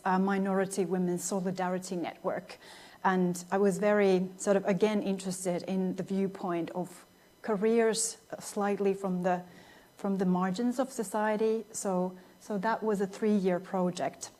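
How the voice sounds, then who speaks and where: A woman's voice: mid-range voice, fairly even intonation, moderate pace, darkish tone. A woman in her thirties from Finland, a non-native speaker giving a thoughtful academic lecture with many restarts and fillers. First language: Finnish. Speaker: guest lecturer.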